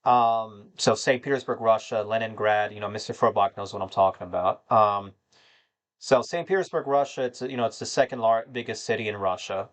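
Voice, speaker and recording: A man's voice: fairly low pitch, a little fast. Rambling American man chatting on stream. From United States (North Carolina). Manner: rambling, filler-heavy, addresses chat as "man". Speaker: livestream host answering chat. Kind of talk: casual livestream chat.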